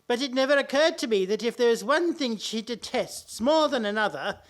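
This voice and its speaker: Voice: medium pitch, lively intonation, a little fast, close to an everyday voice. An actor in a comic radio play playing a genial, timid, henpecked older father-in-law, hesitant and a little fussy, with old-fashioned politeness. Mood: confiding. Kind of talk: stage-comedy radio play acting.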